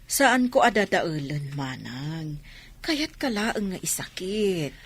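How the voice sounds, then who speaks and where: An animated, suspicious younger sister. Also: female voice, on the low side, slow, slightly rough, heavily acted. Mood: insistent, concerned. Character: concerned, suspicious younger sister warning insistently. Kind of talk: Ilocano radio drama acting.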